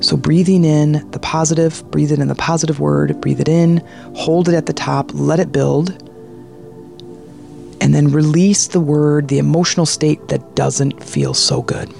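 Guided meditation host, very unhurried, vocal fry, soothing, hushed, light music underneath. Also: mid-range voice.

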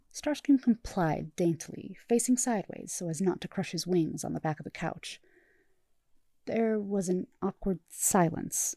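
A woman reading a fan story aloud as podfic narrator, in calm storytelling. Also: lowish voice, gravelly, dry recording. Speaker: podfic reader. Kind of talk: audiobook narration.

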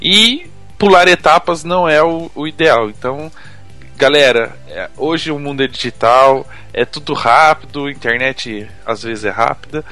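A man's voice: fairly high pitch, normal speed, pushed and bright, faint music behind. A Brazilian man co-hosting a podcast in casual chat, informal and a bit hesitant in his phrasing. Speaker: podcast co-host.

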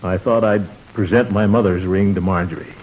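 An American actor playing a sentimental middle-aged uncle in an old-time radio sitcom, speaking haltingly and deliberately. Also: male voice, low voice, steady tone, darkish tone. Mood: warmly ceremonious. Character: sentimental middle-aged uncle and family patriarch, hesitant, tender. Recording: noisy vintage radio recording.

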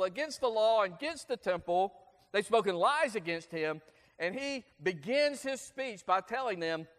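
A man's voice: very high-pitched, a bit bright. A male preacher giving an earnest, explanatory Bible sermon, with frequent fillers. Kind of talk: expository sermon. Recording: long hall reverb.

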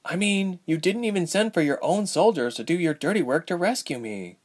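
A man's voice: high-pitched, animated, brisk, voice pushed up. A man voicing a young woman ice mage in an audiobook story, wary and dryly humorous, with a questioning manner. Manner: questioning, dry.

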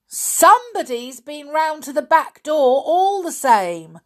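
An excited young boy from a children's mystery story, acted out by a woman reader. He is bold and insistent. The voice jumps well up in pitch and calls out eagerly. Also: measured pace.

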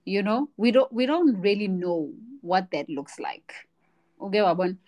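A woman's voice: medium pitch, expressive, measured pace, darkish tone. Reflective woman podcaster. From South Africa. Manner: reflective, searching for words with many restarts. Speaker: podcast co-host. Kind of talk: casual podcast chat.

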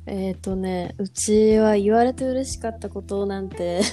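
A young woman co-hosting a casual talk show, speaking in a relaxed, understated way. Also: mid-range voice, measured pace. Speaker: podcast co-host. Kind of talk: casual chat.

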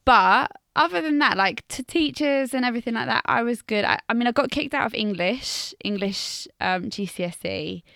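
A woman's voice: on the high side, lively intonation, moderate pace, vocal fry, few pauses, a bit bright, dry recording, clean studio sound. A woman in her thirties from Wales, co-hosting a podcast in a chatty, self-mocking storytelling way and laughing often. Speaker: podcast co-host, teacher. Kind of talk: casual chat.

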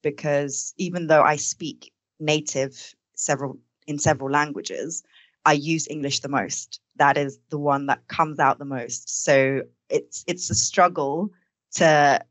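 Low-voiced woman talking reflectively. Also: fairly even intonation, a little slow, no room sound. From born in Denmark, East African heritage. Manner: reflective and candid. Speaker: panel guest, consultant. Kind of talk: conversational podcast discussion.

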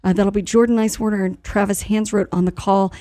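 A woman hosting a live radio talk show in an informal, halting manner, sometimes reading notices aloud. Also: medium pitch, fairly even intonation, mellow.

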